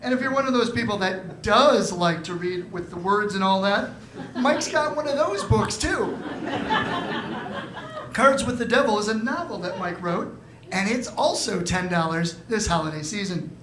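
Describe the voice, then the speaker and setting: A woman's voice: medium pitch, normal speed, fairly bright tone. A woman announcing a live radio show with a playful, salesy manner. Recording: roomy live stage recording, music behind.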